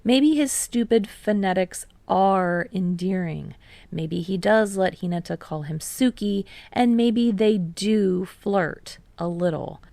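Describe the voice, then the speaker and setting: A woman's voice: medium pitch, takes it slowly, creaky, mellow, dry recording. A woman reading scripted fiction aloud as a story narrator.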